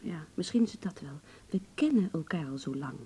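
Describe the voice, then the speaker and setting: An actress playing a wry, wounded beautician in love in a radio play, speaking intimately, sardonic and hesitant by turns. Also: female voice, sits low, expressive, fairly quick, warm tone, breathy edge, exaggerated voice. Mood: uneasy, tender. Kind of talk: Dutch radio play acting, intimate dialogue.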